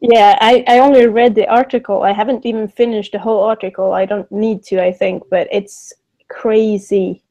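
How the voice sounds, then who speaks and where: A woman from Sweden, whose first language is Swedish, co-hosting a casual live-stream chat, talking in quick animated bursts and laughing often. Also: mid-range voice. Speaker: podcast co-host.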